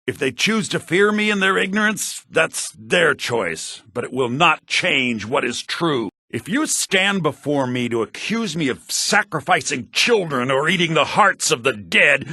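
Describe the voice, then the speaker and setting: A husky, animated fantasy game townsman. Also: on the high side, dry recording, studio quality, full character voice. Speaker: video game voice actor. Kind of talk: fantasy role-playing game character dialogue.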